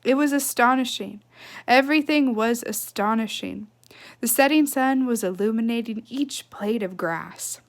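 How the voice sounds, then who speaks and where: A woman reading a children's novel aloud with expressive, emphatic phrasing and casual asides. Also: a little high, measured pace. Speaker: read-aloud storyteller.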